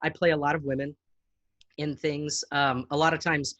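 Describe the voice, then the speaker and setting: A middle-aged man with a high voice talks as a guest in a relaxed interview. He is chatty and self-deprecating, with lots of ums and restarts. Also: moderate pace. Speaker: actor and guest. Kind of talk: casual interview chat.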